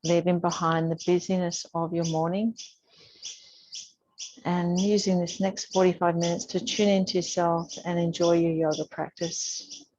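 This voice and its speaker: A woman teaching a yoga class, giving soothing, unhurried guidance with pauses between instructions. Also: fairly low pitch, level tone, darkish tone, no room sound. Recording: dull, muffled lo-fi sound.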